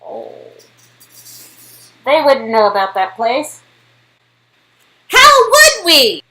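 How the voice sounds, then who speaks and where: A woman with a high voice reads game character lines aloud. She takes her time, moving between scripted dialogue and casual chat. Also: wildly swooping pitch, extremely slow, pushed and bright, rough recording.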